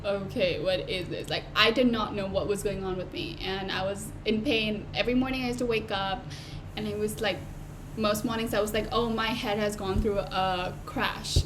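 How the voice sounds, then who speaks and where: A woman's voice: mid-range voice, steady tone, moderate pace. An eighteen-year-old woman talking to camera in an unscripted, rambling way, full of "like" and "um" fillers and restarts. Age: late teens. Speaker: vlogger. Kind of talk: unscripted casual talk to camera. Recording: noisy home recording.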